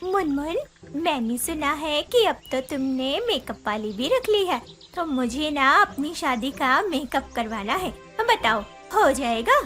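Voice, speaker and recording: A woman's voice: high-pitched, lively intonation, measured pace, fairly bright tone, faint music behind. A woman voicing a female bird bride-to-be customer in a children's cartoon. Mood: expectant.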